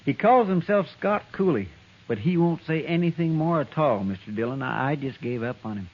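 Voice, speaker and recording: An earnest young deputy talks in a slightly high, fretful voice. The sound is dull and muffled, typical of an old radio recording. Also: male voice, animated, moderate pace. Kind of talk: 1950s radio drama acting.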